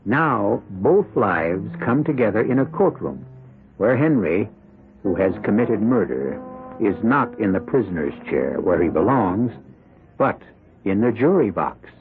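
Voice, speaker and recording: Mystery host: ominous wry storyteller, gravelly low voice with sweeping intonation, dramatic pauses, old radio sound. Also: male voice, very animated. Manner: theatrical, deliberate, with dramatic pauses. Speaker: host and narrator. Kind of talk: 1970s radio mystery narration. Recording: muffled 1970s radio broadcast, music behind.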